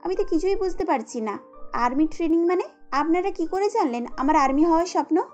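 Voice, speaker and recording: Woman as a high-voiced, gentle young bride. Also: lively intonation, a little fast, muffled recording, faint music behind. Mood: astonished. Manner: polite, tender, earnest. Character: gentle, grateful young bride, modest and emotional.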